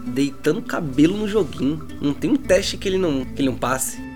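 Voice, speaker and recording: A man's voice: fairly high pitch, expressive, brisk. A Brazilian man narrating a tabletop roleplay as game master, with informal, slangy speech. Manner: colloquial, slangy. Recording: music behind the voice.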